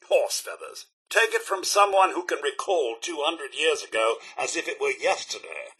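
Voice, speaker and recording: A male robot butler from a video game, prim, devoted and very British. The voice sits fairly high and cuts through brightly, leaping dramatically up and down. The delivery is formal and fussy, full of deferential politeness. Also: breathy edge, full character voice, rough recording. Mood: indignant. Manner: formal, deferential, theatrically expressive. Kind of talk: video game character dialogue.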